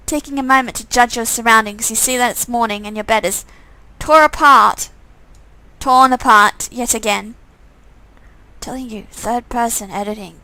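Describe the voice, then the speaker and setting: Woman game streamer, high bright voice, expressive storytelling with casual asides. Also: moderate pace, no echo, poor audio. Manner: expressive, breaks off to comment casually. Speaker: streamer reading a visual novel game aloud. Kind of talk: dramatic narration with off-the-cuff asides.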